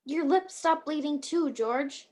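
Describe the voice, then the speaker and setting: A woman's voice: high-pitched, slow, darkish tone. A woman playing a kindly, chatty, bumbling apprentice guardian angel in a radio play. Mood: gently persuasive. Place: at home on a video call.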